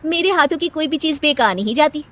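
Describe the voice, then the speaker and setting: A boastful young housewife in a cartoon story, acted out by a woman narrator. Her voice sits high and she is sassy, bossy and quick to scold, very pleased with herself. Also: animated, a bit bright. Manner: sassy, scolding, self-satisfied.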